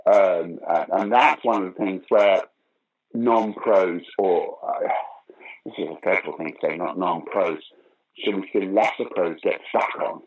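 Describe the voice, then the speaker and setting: Older male, low and husky, a theatrical raconteur in conversational interview mode. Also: unhurried, dull audio. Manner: theatrical, emphatic storyteller who acts out remarks. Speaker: interview guest, pantomime actor and former opera singer. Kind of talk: animated anecdotal interview talk.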